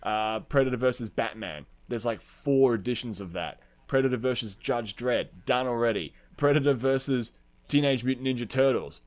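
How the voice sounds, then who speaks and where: A man's voice: medium pitch, normal speed. A man co-hosting a podcast in casual chat, with a blunt, colloquial, sweary way of talking. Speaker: podcast co-host.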